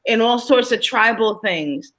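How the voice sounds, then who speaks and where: A woman in a casual group chat, quick and opinionated, correcting the record. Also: on the high side, lively intonation, fairly bright tone, poor audio. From Dominican.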